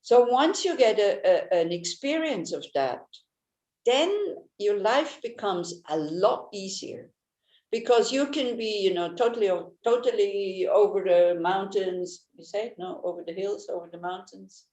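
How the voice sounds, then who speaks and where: A woman whose first language is Swiss German gives a Buddhist teaching in a gentle manner, often restarting and searching for words. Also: medium pitch, lively intonation, measured pace. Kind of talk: Dharma teaching talk. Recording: echoey room.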